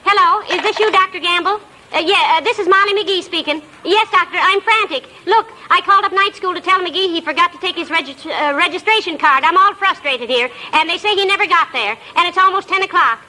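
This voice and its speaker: An actress playing a fond, fretful middle-aged housewife who fusses tenderly, in 1940s radio comedy style. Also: female voice, very high voice, a little fast, bright, forceful tone, heavily acted. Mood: worried, frantic. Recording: noisy old 1940s radio recording.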